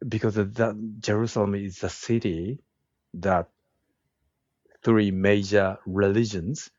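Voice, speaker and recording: A middle-aged man with a Japanese accent and a very low voice, answering interview questions slowly and with care. He pauses often to find his English words. The sound is dry and a little dull. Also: fairly even intonation, a little mellow, no echo. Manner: slow, halting, searches for words. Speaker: guest, woodworking craftsman and teacher. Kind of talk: casual interview conversation.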